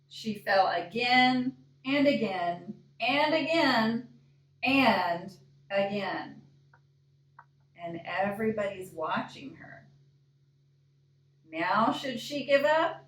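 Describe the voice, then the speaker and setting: Slow, echoey woman reading a children's story. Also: mid-range voice, expressive, fairly bright tone. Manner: deliberate, asks the young listeners questions. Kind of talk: expressive children's storytime read-aloud. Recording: echoey room, distant microphone.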